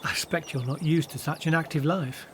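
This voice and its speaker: A patient, kindly biblical disciple played by a man in a radio play. His voice is on the high side, and he reassures gently. Faint outdoor ambience with birds is heard behind. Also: fairly even intonation, a little fast, a little hiss or hum, natural voice. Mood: reassuring.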